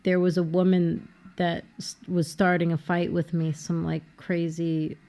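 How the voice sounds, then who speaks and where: A woman's voice: lowish voice, a little slow. A young woman chatting casually over a film, telling stories with energy, laughing often and saying "like" a lot. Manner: animated storyteller, laughs often, says "like" a lot. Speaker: co-host. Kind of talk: casual film commentary chat.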